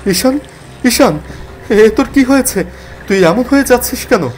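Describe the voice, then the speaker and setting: A man voicing a frightened young man in an animated horror story, urgent and pleading as he questions. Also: very high voice, normal speed, pitched above the performer's own voice. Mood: terrified pleading.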